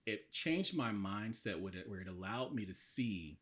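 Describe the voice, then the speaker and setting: A man in his thirties from the southern United States, a podcast guest telling his life story in an earnest, reflective way, a little hoarse today. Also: on the low side, animated, normal speed, a bit bright. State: slightly hoarse. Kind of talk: casual interview talk.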